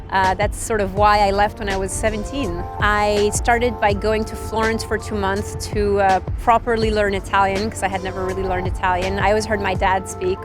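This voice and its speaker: Woman over soft music. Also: medium pitch. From Switzerland. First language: French. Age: 30s. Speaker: interviewee, tech reporter. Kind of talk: reflective documentary interview. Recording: music playing underneath.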